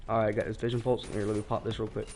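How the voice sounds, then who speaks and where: A man's voice: fairly low pitch, flat delivery, very fast, background noise. A teenage boy with a stuffy, sick voice, rambling through casual commentary while he plays a shooter, full of restarts. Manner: rambling, hesitant, with many restarts. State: sick, congested. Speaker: streamer.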